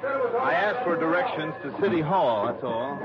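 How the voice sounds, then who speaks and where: An actor playing a cool, wry American insurance investigator in a 1960s radio detective drama, dry and measured. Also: male voice, a little high, sing-song, a loud crowd. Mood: guarded. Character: cool, wry male insurance investigator narrating his case. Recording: dull, very noisy vintage radio recording.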